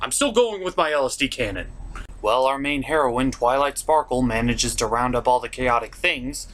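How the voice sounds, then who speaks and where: A man's voice: mid-range voice, expressive, moderate pace, a bit bright. A man hosting a comedic review, narrating from a script with sarcastic, mock-exasperated asides. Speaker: host of a comedic cartoon review show.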